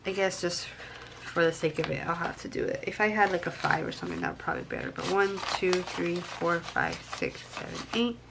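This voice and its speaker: A woman's voice: on the low side, big pitch swings, a little fast, vocal fry, noisy background. A young woman with a stuffy nose from a cold, chatting casually to camera and counting cash aloud with frequent ums. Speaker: budgeting vlogger.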